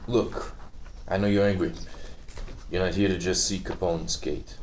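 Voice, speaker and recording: A man reading the part of a conciliatory police chief, halting and placating, at a script table read. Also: sits low, steady tone, darkish tone, slight background noise. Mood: conciliatory, earnest.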